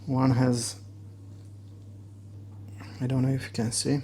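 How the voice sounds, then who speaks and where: Mellow, gravelly Romanian-accented man. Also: mid-range voice, level tone, slight background noise. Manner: halting, searching for words. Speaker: motorcycle gear reviewer. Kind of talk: casual unboxing and demo talk.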